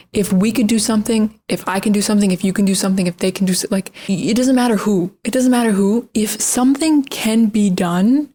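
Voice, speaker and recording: A woman's voice: medium pitch, a little mellow. An American woman ranting casually to camera, rambling and frank, often repeating words and restarting her sentences. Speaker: language-learning vlogger.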